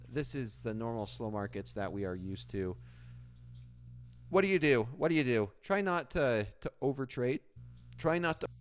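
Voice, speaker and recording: A man's voice: mid-range voice, a little hiss or hum. A Canadian man hosting a live trading stream, chatting casually with viewers and reading their comments aloud, with frequent restarts. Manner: reads chat aloud and answers, with frequent restarts. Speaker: livestream trading host.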